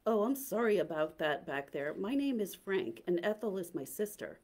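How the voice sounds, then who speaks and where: A woman reading as a gentle, pleading older man. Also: mid-range voice, sing-song, moderate pace. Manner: pleading, hesitant.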